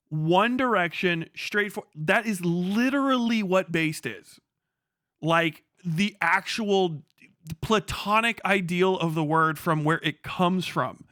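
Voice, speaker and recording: A man with a fairly high, forceful voice in a very dry recording. He talks off the cuff as a livestream commentator, opinionated and emphatic, swearing freely as he reacts to videos. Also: no echo. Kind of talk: casual unscripted political commentary, reacting to video clips.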